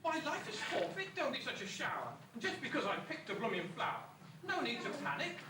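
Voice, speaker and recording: A man's voice: very high voice, very animated, brisk, exaggerated voice. A man acting a bumbling, cheeky father in an amateur rhyming pantomime, exclamatory and comic. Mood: flustered. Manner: exclamatory, comic, theatrical asides. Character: bumbling, cheeky father lost in a fairy-tale land. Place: school hall stage. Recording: echoey distant stage recording, loud hiss.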